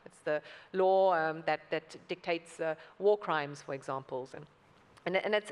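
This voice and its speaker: A woman speaking on a conference panel, earnestly explaining and repeating words for emphasis. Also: lowish voice. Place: conference hall. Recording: long reverb from a large hall.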